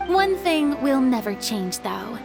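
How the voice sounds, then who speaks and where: A woman playing a genteel, reassuring young lady with expressive, measured phrasing in an anime game. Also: sits high, creaky, music in the background, exaggerated voice. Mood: wistful, reassuring.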